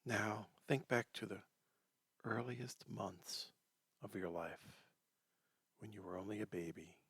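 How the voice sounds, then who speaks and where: Male meditation guide: deep, husky and soft, gentle delivery with long pauses, breathy phrasing. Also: no room sound. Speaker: meditation teacher.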